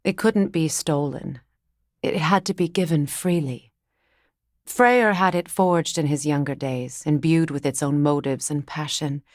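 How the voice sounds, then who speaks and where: An actress playing a proud, mature Norse goddess in a video game, measured and formal in speech, wry and guarded. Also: female voice, deep voice, dry recording, clean studio sound. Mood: reflective. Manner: measured, dignified, formal phrasing. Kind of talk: dramatic game dialogue and storytelling.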